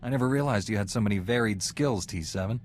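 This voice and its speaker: A man playing a calm, earnest Jedi Knight in a video game, speaking in a measured, formal way. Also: fairly low pitch, very noisy, lightly acted. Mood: serious. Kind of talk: video game dialogue acting.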